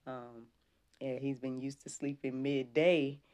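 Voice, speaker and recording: A woman's voice: a bass voice, fairly even intonation, slow, warm tone. A woman hosting a casual faith-based livestream, chatting informally and devoutly with frequent praise and interjections. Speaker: podcast host.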